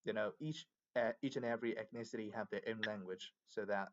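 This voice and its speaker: Guy chatting over a video call, lowish voice, kinda muffled audio, lots of "you know". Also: steady tone, a little fast. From Myanmar. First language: Burmese. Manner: talkative, many fillers. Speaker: English learner. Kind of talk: casual video chat.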